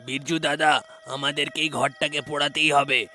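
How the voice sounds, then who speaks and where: A man's voice: a little high, little pitch movement, normal speed, sharp tone, faint music behind. A man voicing an alarmed, insistent villager in a cartoon horror story. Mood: stern, urgent. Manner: urgent, insistent. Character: alarmed village man urging drastic action.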